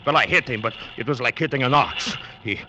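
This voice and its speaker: A gruff, plain-spoken sailor, voiced by a man, blunt and loyal, with a steady mid-range voice. A clear hiss runs under the old radio recording. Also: mid-range voice, fairly quick, close to an everyday voice. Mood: angry. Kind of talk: old-time radio acting.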